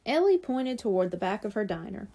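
A woman reading a children's storybook aloud in an expressive, animated way, acting out the dialogue. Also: medium pitch, brisk, vocal fry. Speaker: audiobook reader.

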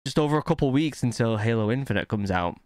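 A man in his thirties giving casual, excitable reaction commentary into a close microphone. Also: medium pitch, fast talker, dry recording. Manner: hyped, exclamatory. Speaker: reaction video host. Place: indoor streaming setup. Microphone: close boom-arm microphone.

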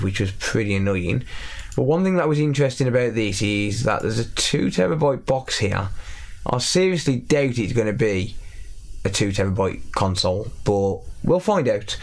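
A young man with a slightly raspy voice from recent illness, chatting casually as he repairs a console in his workshop. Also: fairly low pitch, lively intonation, moderate pace. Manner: chatty, self-deprecating, thinks aloud while working. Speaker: electronics repair YouTuber. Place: cluttered repair workshop, indoors.

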